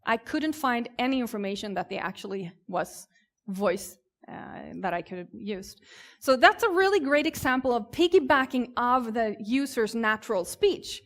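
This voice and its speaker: A woman's voice: fairly high pitch, expressive, normal speed. Swedish woman giving an informal tech talk. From southern Sweden. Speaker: conference speaker. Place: conference stage.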